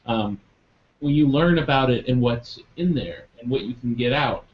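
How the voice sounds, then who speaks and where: A man's voice: medium pitch, normal speed. A man hosting a live online show, chatting casually with many ums and uhs. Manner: rambling with many fillers. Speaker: podcast host. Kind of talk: casual live webcast chat. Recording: dull lo-fi webcast audio with faint noise.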